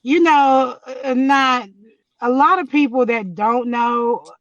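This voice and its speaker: High-voiced woman with a dull lo-fi sound. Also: takes it slowly, a bit bright, no room sound. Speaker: guest. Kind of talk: casual group chat.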